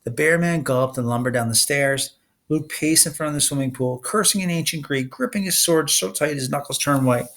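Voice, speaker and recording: A man reading a story aloud as an expressive narrator. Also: a little high, brisk. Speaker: storybook reader.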